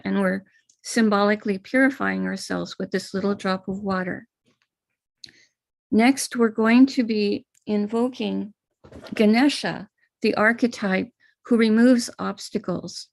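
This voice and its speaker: A middle-aged American woman leads a ritual over a live stream, explaining each step in a calm, gentle way. Her voice has a gravelly fry to it, and the sound is close and dry with no room echo. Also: mid-range voice, measured pace. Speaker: spiritual teacher leading a ritual.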